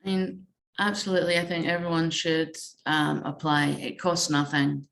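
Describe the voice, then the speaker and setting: A woman researcher answering informally in a panel discussion, speaking in a measured way and pausing mid-sentence. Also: deep voice, level tone, creaky, a bit bright. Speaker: games researcher, roundtable panellist.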